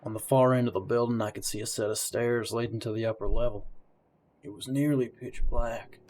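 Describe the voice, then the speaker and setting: A man's voice: medium pitch, fairly even intonation, fairly quick, darkish tone, slight background noise, natural voice. A man playing a grim, hard-boiled police detective who narrates his story in an amateur audio drama. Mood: tense, somber. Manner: somber first-person storytelling.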